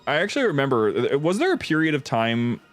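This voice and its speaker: A man's voice: medium pitch, huge pitch swings. Curious, reactive man on a game stream. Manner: reactive, curious, quick to laugh. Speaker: stream host. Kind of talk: casual chat while playing a game. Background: background music.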